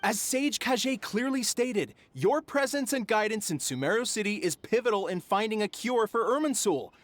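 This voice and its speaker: A man's voice: sits high, animated, moderate pace. A man playing an indignant, pompous young scholar in a video game, pressing his point formally.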